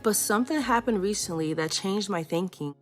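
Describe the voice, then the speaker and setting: A woman reading a children's picture book aloud in a playful, expressive storytelling way. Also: medium pitch, brisk, soft background music.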